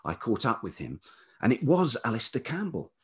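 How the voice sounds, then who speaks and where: A middle-aged man from Kent with a southern English accent and a velvety voice, telling personal stories thoughtfully as an interview guest, often pausing mid-sentence. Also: mid-range voice, huge pitch swings, husky. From Kent, England. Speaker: podcast guest, writer and musician. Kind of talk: reflective personal storytelling in conversation.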